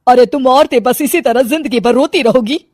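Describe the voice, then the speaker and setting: A woman's voice: sits high, moderate pace, darkish tone, no room sound, clean studio sound. A woman playing a bold, ambitious young housewife in a cartoon story, speaking in an emphatic, urging way. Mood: determined. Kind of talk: cartoon moral-story acting.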